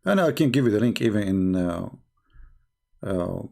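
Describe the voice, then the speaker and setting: A man's voice: fairly low pitch, animated, normal speed, creaky, darkish tone. A man with an Arabic accent hosting a heated call-in debate, bluntly repeating his questions and pressing the caller. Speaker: host of a live call-in debate.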